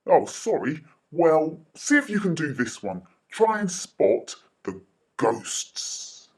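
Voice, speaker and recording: A man giving deliberate, expressive educational narration as a presenter for children. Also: on the high side, husky.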